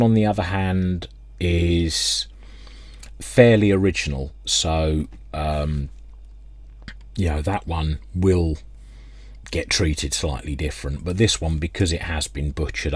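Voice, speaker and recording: A man's voice: a bass voice, slightly rough, no echo. A British man explaining technical work informally at a workbench, hesitant and unscripted as he thinks aloud. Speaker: radio restoration hobbyist presenting a workbench video. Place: indoor workbench, close dry sound.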